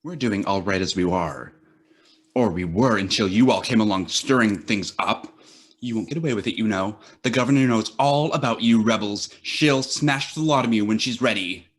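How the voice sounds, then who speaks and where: Radio play: man as surly, suspicious assistant, low-pitched, grumbling. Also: moderate pace, close to an everyday voice. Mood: hostile. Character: grumbling, suspicious male laboratory assistant, loyal to authority. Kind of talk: live script reading, radio play.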